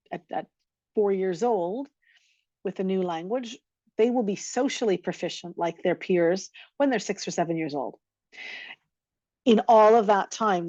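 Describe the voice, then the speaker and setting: A woman's voice: on the low side, mellow, no room sound, dull audio. A woman giving a recorded lecture on teaching, explaining and running through lists of examples. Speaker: teacher trainer.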